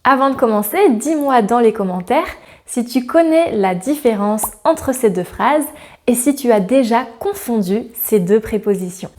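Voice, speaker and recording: A woman with a fairly high voice runs a scripted grammar lesson to camera. She speaks clearly and with friendly warmth, talking straight to the viewer as a teacher would. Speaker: French teacher.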